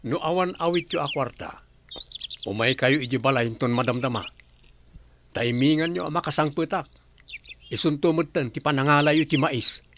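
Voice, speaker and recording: An actor playing a tight-fisted, argumentative husband and dutiful son in an Ilocano radio drama. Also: male voice, on the high side, moderate pace, long pauses, background noise, lightly acted. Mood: brusque, haggling.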